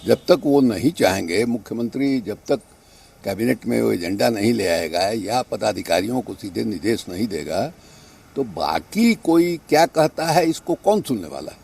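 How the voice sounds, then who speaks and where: A man's voice: lowish voice, very animated, normal speed, vocal fry, a little mellow, husky. A man making a political argument in a spoken news soundbite. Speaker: political interviewee. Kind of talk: spoken opinion to news camera.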